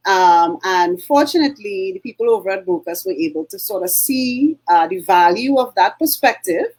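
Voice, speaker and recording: A middle-aged Trinidadian woman co-hosting a relaxed talk show. She rambles warmly through her points with frequent ums and uhs, at an ordinary middle pitch. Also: moderate pace, rough recording. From Trinidad and Tobago. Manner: chatty, many fillers and throat clearing. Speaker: co-host. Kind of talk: casual chat.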